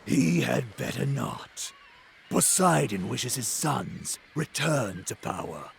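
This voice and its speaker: An actor playing a curt, scheming villain in a game cutscene. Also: male voice, medium pitch, very animated, takes it slowly, gravelly, slight background noise, exaggerated voice. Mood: cold.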